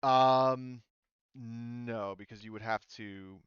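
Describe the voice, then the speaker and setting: A man's voice: medium pitch, fairly even intonation, slow, fairly bright tone, smooth, dry recording, highs cut off. A man narrating and explaining rules casually as a tabletop game master. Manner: informal, talks through rules as he reads them.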